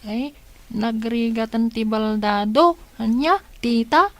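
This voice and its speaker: A woman's voice: on the high side, takes it slowly, dry recording. A Filipino woman playing a curious, naive child in a radio drama. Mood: wondering.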